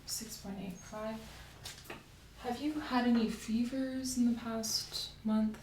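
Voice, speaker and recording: A soft-spoken woman playing a doctor in an ASMR medical roleplay, gently asking questions and giving step-by-step exam instructions. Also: fairly high pitch, fairly even intonation, slow pace. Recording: roomy echo, clear background hiss.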